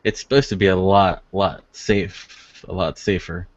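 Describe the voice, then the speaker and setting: A man's voice: deep voice, fairly even intonation, a little slow, no echo, lo-fi sound. A man co-hosting a casual web show, teasing his co-host in dry banter over a video call.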